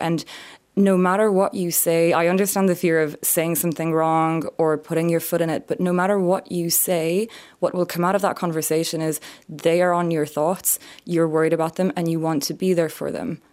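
A young adult woman from France, an author calmly explaining her experiences in an interview. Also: on the low side. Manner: earnest, measured, explanatory. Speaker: author and survivor, interview guest. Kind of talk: reflective interview answers.

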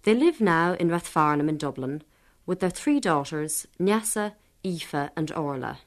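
A woman narrating a radio documentary with a clear, scripted, read-aloud delivery. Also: low voice, expressive, measured pace.

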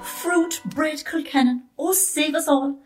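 A woman's voice: high-pitched, takes it slowly, far from the mic, soft background music. A woman playing a kindly, firm old grandmother with a homely, emphatic manner in a children's story. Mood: flustered, urgent. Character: kindly, bustling, firm old Irish granny.

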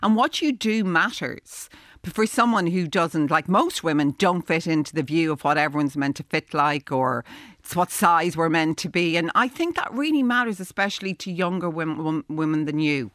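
A woman's voice: deep voice, animated, moderate pace, a bit bright, no echo, studio quality. A woman hosting a radio interview, encouraging her guest and reading out listener messages. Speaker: radio host.

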